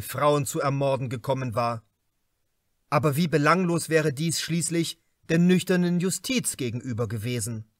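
A man reading a crime novel as an audiobook narrator, in a measured, expressive and carefully articulated way. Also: fairly high pitch, dry recording, clean studio sound.

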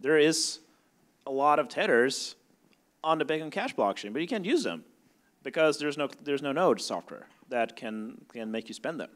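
A young man on a conference panel making technical points informally in halting, restarted phrases. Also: medium pitch, lively intonation, a bit bright. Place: conference room stage before a seated audience. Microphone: handheld microphone.

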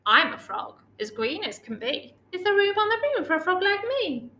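A woman's voice: extremely high pitch, big pitch swings, moderate pace, bright, forceful tone, pitched above the performer's own voice, full character voice. A keen little storybook frog, voiced by a woman, polite and eager. It chants its rhyme in an echoey room. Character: keen green frog, polite small animal.

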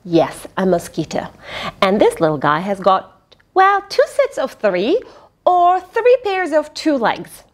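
A middle-aged woman reads to young children in a high voice. Her intonation swings widely and she takes her time, pausing to question and explain like a teacher. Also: wildly swooping pitch. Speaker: children's storyteller, host. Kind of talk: animated picture-book read-aloud with asides to children. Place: small indoor fabric tent with cushions.

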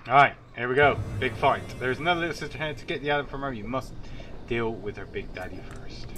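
A man's voice: medium pitch, quick pace. Congested man commentating over noisy game audio. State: congested with a cold. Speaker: gaming channel host. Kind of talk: casual gameplay commentary with swearing. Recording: noisy track with game ambience. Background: video game ambience.

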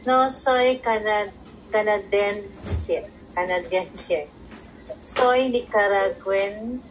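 Woman, language student, very slow, careful, unsure, video call, faint noise. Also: medium pitch, low-quality recording. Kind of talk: practicing phrases in a class.

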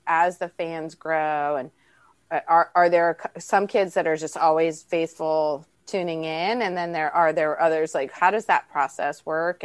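A woman's voice: sits low, dry recording. A woman hosting a conversational podcast interview, stammering over repeated words as she goes. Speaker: podcast host.

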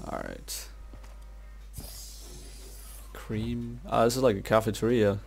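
A man's voice: fairly low pitch, fairly even intonation, takes it slowly, vocal fry, faint music behind. A man giving casual gameplay commentary in an awestruck, slangy way, peppered with dude and man. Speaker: gamer commentating his playthrough.

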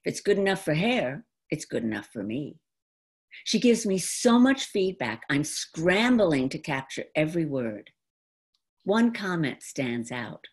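Low-voiced, expressive older American woman. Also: breathy edge. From New York, United States. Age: 70s. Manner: deliberate, dramatic phrasing with pauses. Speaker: monologue performer. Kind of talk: theatrical autobiographical storytelling monologue. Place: indoor room at home. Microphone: computer mic on a video call.

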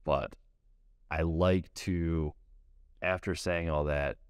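A man's voice: low-pitched, steady tone, slow, darkish tone, smooth, dry recording, studio quality. A man in his thirties, a plumbing salesperson, explaining his work in a practical, detailed way and often repeating words as he thinks. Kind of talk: casual interview answers. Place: TV studio set.

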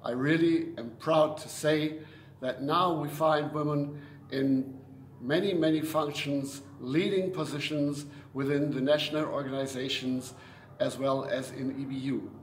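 Older guy giving a slow earnest speech, kinda high voice, echoey room with faint hiss. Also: steady tone. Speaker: organization official giving an address. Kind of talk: prepared speech. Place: indoor room.

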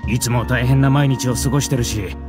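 A man's voice: medium pitch, fairly even intonation, brisk, over music, natural voice. An actor playing an ordinary, weary townsman in an anime game, speaking in a plain, casual, earnest way. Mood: earnest, puzzled. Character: ordinary weary townsman dreaming of an easier life. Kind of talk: Japanese anime game acting.

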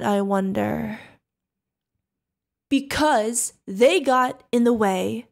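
A high-voiced, slow, giggly yandere killer. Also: female voice, darkish tone, exaggerated voice. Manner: creepy, giggling, teasing singsong, drawn-out threats. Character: insane tall female yandere killer, giggling, sadistic, mockingly sweet. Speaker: voice actor. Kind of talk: ASMR roleplay acting.

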